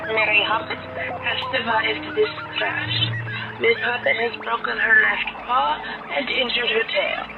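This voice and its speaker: A woman's voice: a little high, gravelly. A woman playing an urgent rabbit doctor sending a distress call through a radio filter in a sci-fi audio drama. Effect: radio transmission filter. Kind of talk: scripted space-adventure audio drama. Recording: dull, band-limited radio transmission.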